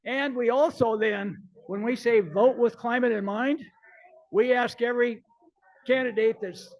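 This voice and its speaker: A man's voice: high-pitched, poor audio. A man from Nebraska giving a folksy, conversational talk full of "you know" and "I think". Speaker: conference speaker. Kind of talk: informal talk at a symposium.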